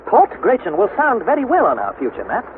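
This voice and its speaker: Earnest, animated young adventurer. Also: male voice, high voice. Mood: eager. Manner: measured, dramatic storytelling. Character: earnest young nephew narrating his expedition adventure. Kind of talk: 1960s radio drama narration. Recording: narrow-band, slightly noisy vintage radio recording.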